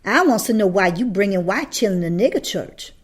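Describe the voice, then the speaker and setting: A contemptuous, confrontational Southern woman from a storybook, acted by a woman reader. She is loud and hostile, drawling her challenge in dialect. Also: mid-range voice, lively intonation, a little fast, natural voice.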